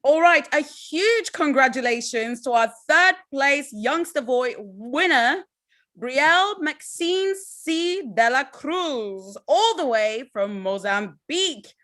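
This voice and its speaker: A woman's voice: sits high, expressive, takes it slowly, fairly bright tone. A woman hosting an online award ceremony as MC, upbeat and encouraging, speaking over a video call. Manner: upbeat, encouraging, addresses audience as guys. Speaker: host and MC.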